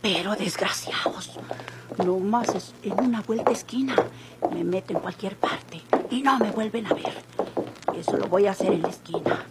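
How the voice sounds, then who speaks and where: A woman's voice: mid-range voice, normal speed, close to an everyday voice. Actress as a wary Mexican country woman, very noisy recording. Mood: defiant, muttering. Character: wary, defiant country woman under pursuit. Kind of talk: Mexican golden-age radio soap acting. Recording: very noisy, crackly old radio recording.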